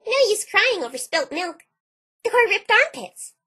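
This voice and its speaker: A woman's voice: extremely high pitch, big pitch swings, unhurried, sharp tone, exaggerated voice. A woman voicing a childlike, scatterbrained, bubbly cartoon girl pony with a perky, chirpy, naive delivery. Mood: matter-of-fact.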